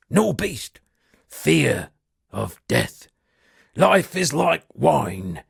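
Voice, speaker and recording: A shipwrecked sea captain for a fantasy game, a roguish would-be poet. The voice is heavily creaky and very raspy, swooping expressively through wry, theatrical storytelling. It is recorded close and dry. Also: male voice, lowish voice, no room sound, heavily acted. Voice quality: gravelly, husky. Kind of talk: video game character dialogue.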